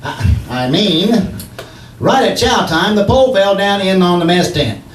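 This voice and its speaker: A man's voice: high voice, big pitch swings, a little slow, a little hiss or hum. Heard from far off on an echoey stage, a man plays a folksy, blustering husband in an old-time radio comedy. He rambles along cheerfully, mangling words and tossing out wisecracks. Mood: teasing, folksy. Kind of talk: 1940s radio comedy acting. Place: live stage in arts center. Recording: distant, echoey stage recording.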